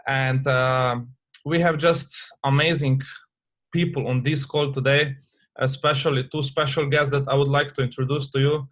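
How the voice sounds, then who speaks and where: Halting man hosting a call. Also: mid-range voice, level tone, a bit bright. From Slovenia. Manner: hesitant, halting phrasing. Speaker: call host. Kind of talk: introducing guests on a conference call.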